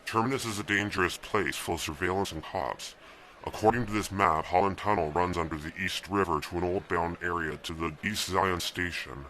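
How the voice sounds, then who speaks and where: A man playing a deep-voiced resolute fugitive husband. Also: moderate pace, slight background noise. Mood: instructing. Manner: calm, giving steady instructions. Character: newlywed young man fleeing a dystopian regime, resolute. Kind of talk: amateur radio drama acting.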